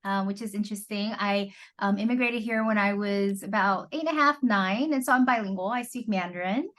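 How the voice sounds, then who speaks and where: Middle-aged American woman, fertility doctor as interview guest, chatty and conversational, mid-pitched voice. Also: a little fast, a bit bright. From Southern California, born in Taiwan. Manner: chatty, peppers talk with "you know".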